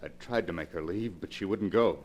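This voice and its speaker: An actor playing a lovesick, tormented husband under a spell, theatrical and volatile in a 1940s radio horror show. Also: male voice, sits low, fairly quick, muffled recording, natural voice. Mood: dazed. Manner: theatrical, swinging from hushed to frantic. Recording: noisy vintage 1940s radio recording.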